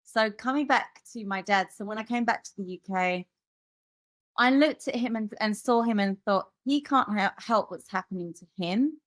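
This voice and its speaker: A woman's voice: mid-range voice, normal speed, no room sound. Chatty woman telling her story. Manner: rambling, many fillers and restarts. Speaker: guest musician. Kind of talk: candid personal storytelling.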